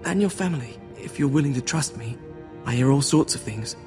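An actor playing a humble, earnest young servant in a fantasy video game, deferential and coaxing in manner. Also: male voice, medium pitch, expressive, fairly quick, a little mellow, soft background music, close to an everyday voice. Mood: earnest, pleading. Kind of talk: dramatic video game acting.

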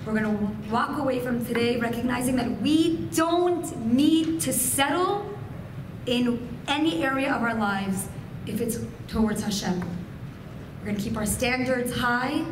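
A woman in her thirties whose first language is Farsi, giving an expressive, gesturing keynote speech from a podium microphone. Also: a little high, unhurried. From Persian family. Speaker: keynote speaker. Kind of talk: inspirational speech to women. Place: hotel function room, indoors. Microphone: podium microphone on a stand. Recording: echoey room with background noise.

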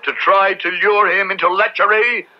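A zealous Puritan witch hunter in an old radio play. The voice is fairly high and very forceful, pompous and sermonizing. The recording is muffled and noisy. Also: fairly even intonation, moderate pace. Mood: indignant. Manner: pompous, sermonizing, formal archaic speech. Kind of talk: old radio drama acting.

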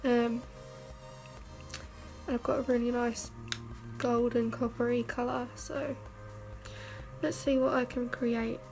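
Croaky young woman, slow and flat. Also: a little high, soft background music, low-quality recording. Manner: chatty, self-mocking, rambling with fillers. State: croaky, stuffed up with a cold. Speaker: beauty vlogger. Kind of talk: casual makeup tutorial chat. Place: bedroom, indoors. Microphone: camera mic at arm's length.

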